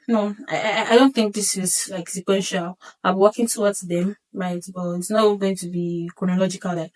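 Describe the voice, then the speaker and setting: A woman in her twenties hosting her own vlog, seated and chatting casually, often pausing to correct herself with light laughs. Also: mid-range voice, poor audio. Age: 20s. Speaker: vlog host. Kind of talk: casual solo vlog monologue. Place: seated indoors.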